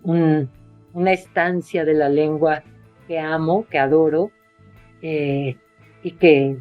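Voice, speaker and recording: Low-voiced woman writer on a video call. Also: no room sound, muffled recording. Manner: hesitant, many fillers and restarts. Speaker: writer being interviewed. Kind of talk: casual conversation.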